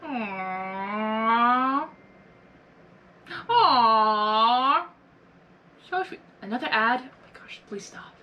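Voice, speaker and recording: A woman giving an excited live reaction, gushing and exclaiming as she talks back to a show. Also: fairly high pitch, extremely slow, pauses often, highs cut off. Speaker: reaction video host.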